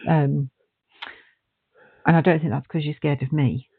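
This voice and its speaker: Gravelly man asking gentle questions. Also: a little high, steady tone, measured pace, no echo. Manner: gentle, questioning, supportive listener. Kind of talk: casual podcast conversation. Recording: dry, phone-like narrow sound.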